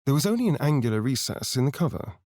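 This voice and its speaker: An actor voicing a sly, vain vampire rogue for a video game, with an English accent and an arch, theatrical, drawling delivery. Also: male voice, mid-range voice, very animated, fast, dark tone, natural voice. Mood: hushed narrating.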